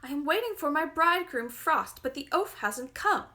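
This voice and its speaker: A woman voicing a spoiled, rude young girl in a fairy tale, with shrill indignation. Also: lively intonation, moderate pace, pushed and bright. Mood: shrieking fury. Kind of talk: storybook fairy-tale reading.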